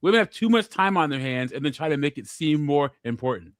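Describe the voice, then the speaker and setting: A man's voice: fairly high pitch, lively intonation, brisk, no room sound, clean studio sound. A man co-hosting a call-in show, giving opinions with frequent stammered restarts. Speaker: podcast co-host. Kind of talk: casual call-in chat.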